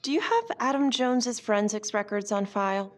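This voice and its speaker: A woman's voice: mid-range voice, a little fast, lightly acted. A woman acting a composed, probing district attorney in a crime game, cool and direct in a naturalistic manner. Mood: cool, assertive. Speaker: video game actor. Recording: faint music under the dialogue. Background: background music.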